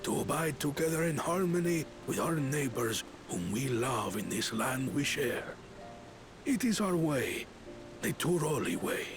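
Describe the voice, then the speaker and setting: A man's voice: on the high side, very animated, a little slow, over music. A man voicing an earnest, ceremonious villager in a fantasy video game, formal and declamatory. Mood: solemn, proud.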